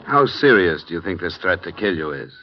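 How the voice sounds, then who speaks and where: A man's voice: sits low, sing-song, brisk. An actor playing a tough male insurance investigator who speaks tersely and dryly, in a vintage radio drama. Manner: terse, dry, businesslike. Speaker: investigator and narrator. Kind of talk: 1950s radio drama acting. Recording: dull lo-fi vintage radio broadcast.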